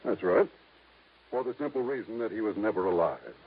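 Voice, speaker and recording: An actor playing a measured, discreet lawyer with a formal, deliberate way of talking in a 1950s radio drama. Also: male voice, lowish voice, sing-song, a little hiss or hum. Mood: explaining, measured. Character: measured, discreet small-town lawyer guarding a secret. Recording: tinny, narrow-band vintage radio recording.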